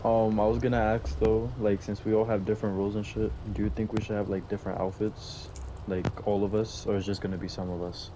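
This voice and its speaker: Hesitant low-voiced guy asking questions. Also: little pitch movement, brisk, noisy background. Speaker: player in a roleplay crew. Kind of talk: casual voice chat.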